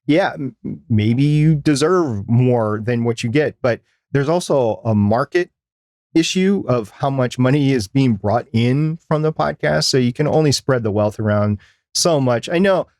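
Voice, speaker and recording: An American man talks as a podcast co-host in a casual, discursive way. His voice sits at a medium pitch, and the sound is dry, with hardly any room to it. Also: expressive, unhurried, a little mellow, no echo. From United States.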